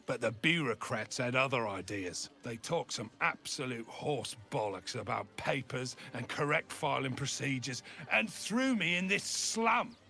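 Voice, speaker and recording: Gravelly, pompous, indignant merchant. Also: male voice, medium pitch, bright, forceful tone. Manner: pompous, blustering. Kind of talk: video game character dialogue. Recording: music behind the voice.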